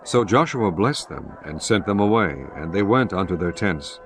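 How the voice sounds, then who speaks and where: A man narrating scripture in a measured, solemn scripted reading. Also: low-pitched, animated, slight background noise. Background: music underneath.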